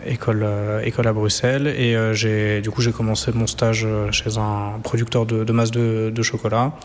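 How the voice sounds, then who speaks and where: Low, flat-voiced man from France. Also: moderate pace, in a large hall, slight background noise. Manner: hesitant, steady. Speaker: chocolatier, guest. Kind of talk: casual interview talk.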